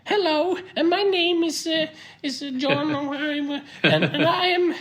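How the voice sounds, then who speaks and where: A man voicing a muscly bodybuilder who introduces himself in a squeaky, very high falsetto. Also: lively intonation, unhurried, fairly bright tone, heavily acted. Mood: silly. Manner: hesitant, stumbling over the intro.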